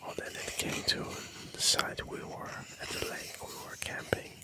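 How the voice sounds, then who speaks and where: A soft, breathy whisperer, rambling gently for ASMR with hesitant pauses and repeated phrases. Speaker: ASMR video creator.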